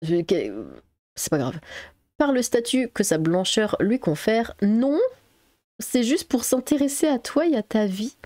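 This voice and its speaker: A woman's voice: a little high, big pitch swings, a little slow, darkish tone, no echo. A woman from Alsace with a French accent, reading an article aloud as an online commentator and breaking in with sarcastic, exasperated asides. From Alsace, France.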